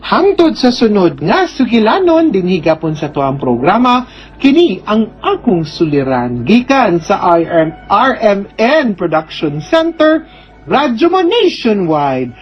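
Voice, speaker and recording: A woman's voice: mid-range voice, sing-song, unhurried, dull audio. A woman with a Cebuano Filipino accent hosting a radio advice program, conversational and encouraging, often adding tag questions. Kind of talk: advice to letter writer, radio sign-off.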